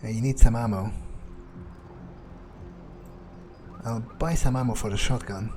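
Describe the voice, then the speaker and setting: A man voicing a cocky vampire in an in-character video game playthrough, musing aloud in a casual, halting way. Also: medium pitch, fairly even intonation, background noise, natural voice. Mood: businesslike. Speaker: gamer roleplaying his character.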